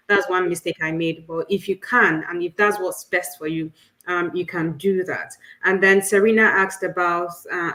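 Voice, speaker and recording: A woman co-hosting a student panel, picking up viewer questions and answering them in a casual, self-correcting way. Her voice sits at a middle pitch. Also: low-quality recording. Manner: reads audience comments, self-correcting. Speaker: co-host.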